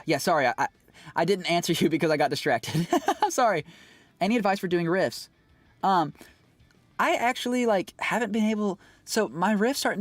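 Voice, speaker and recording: A man chatting casually with his livestream viewers, rambling and animated, with lots of fillers and quick laughs. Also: sits high, no echo. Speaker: streamer and singer.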